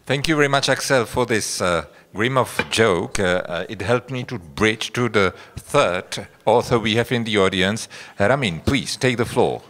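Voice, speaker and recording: A man moderating a panel, handing the floor to speakers briskly. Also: lowish voice, fairly bright tone, slight background noise. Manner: brisk, from off mic.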